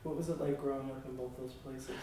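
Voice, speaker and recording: A man's voice: medium pitch, fairly even intonation, fast talker, a little hiss or hum. Distant man asking questions in an echoey room. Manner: gentle, hesitant questions. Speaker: interviewer. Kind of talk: oral history interview.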